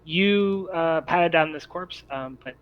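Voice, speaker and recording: A man acting as game master, explaining scenes and rules in a conversational, halting way. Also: on the high side. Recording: dull lo-fi mic with faint noise.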